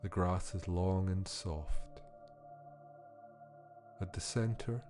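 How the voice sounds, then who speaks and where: A man with a deep, mellow voice narrates a guided meditation at a very slow pace. He is soothing and measured, leaving pauses between phrases. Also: faint music behind. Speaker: podcast host and meditation guide.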